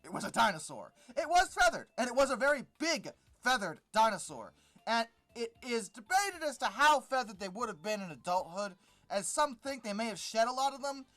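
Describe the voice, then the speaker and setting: A YouTube host giving sardonic, exasperated reaction commentary while reading an article aloud, with halting, emphatic repetition. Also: medium pitch, fairly bright tone.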